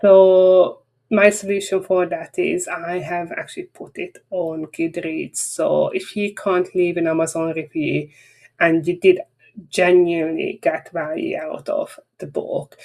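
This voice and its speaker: A woman's voice: on the low side, fairly even intonation, a little slow, creaky, mellow, rough recording. A woman with a Hungarian accent, a native Hungarian speaker, closing a marketing webinar in a chatty, rambling, frank way. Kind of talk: casual webinar wrap-up talk.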